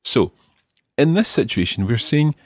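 A man's voice: medium pitch, slow, no room sound, studio quality. A man hosting a language lesson podcast, explaining grammar patiently and encouragingly. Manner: patient, encouraging, lively intonation. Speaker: teacher and podcast host.

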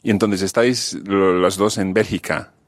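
A man with a fairly low voice hosts an interview, talking in a friendly, clear and measured way. The sound is close and dry, with no room echo. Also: fairly even intonation. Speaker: host. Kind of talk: podcast interview. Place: Strasbourg.

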